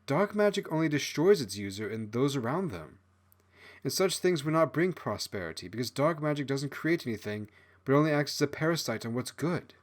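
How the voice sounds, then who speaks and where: A man's voice: medium pitch, very animated, brisk, voice pushed up, natural voice. A man voicing a proud, imperious female fox spirit in an audiobook fantasy story. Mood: dismissive.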